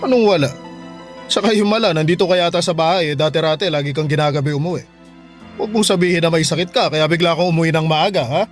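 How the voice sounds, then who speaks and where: A man plays a stern middle-aged father in a Filipino radio drama. He scolds gruffly in a raised, high voice, with soft music behind him. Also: quick pace, close to an everyday voice. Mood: grumbling, suspicious.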